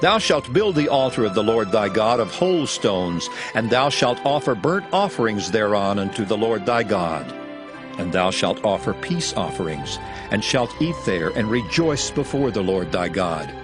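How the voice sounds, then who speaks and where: A man's voice: fairly low pitch, animated, moderate pace, close to an everyday voice. An actor playing a solemn, commanding lawgiver who instructs his people, in a dramatized scripture reading. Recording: music bed beneath voice.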